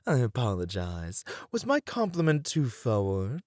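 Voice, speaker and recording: A man voicing a poised, courteous and patient etiquette teacher in an ASMR roleplay, speaking in a measured, formal, theatrical manner. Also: mid-range voice, wildly swooping pitch, a little mellow, no echo, studio quality. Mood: calm, courteous.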